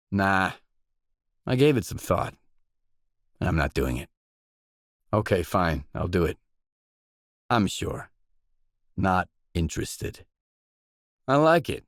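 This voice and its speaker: A man's voice: sits low, no echo, clean studio sound, full character voice. An actor playing a pragmatic male mercenary stalker in a video game, speaking in restrained, matter-of-fact, clipped lines. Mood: businesslike, matter-of-fact. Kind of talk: video game character dialogue.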